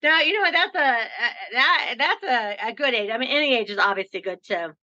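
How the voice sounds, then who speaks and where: A chatty woman in her sixties with a bright, forceful voice and a slightly higher pitch. She talks with upbeat enthusiasm and laughs easily. The audio is dull and lo-fi, like a remote call. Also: lively intonation, a little fast. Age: 60s. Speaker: podcast host. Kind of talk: casual interview chat.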